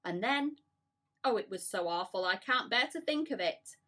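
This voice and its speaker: A woman's voice: mid-range voice, lively intonation, moderate pace, bright, forceful tone. A woman voicing a melodramatic female spider in a storybook, mournful and emphatic as she recalls sad memories. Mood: upset, tender.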